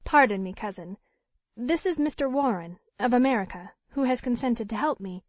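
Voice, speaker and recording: A woman's voice: fairly high pitch, lively intonation, moderate pace, no echo, natural voice. A woman voicing a timid young noblewoman. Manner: soft, timid.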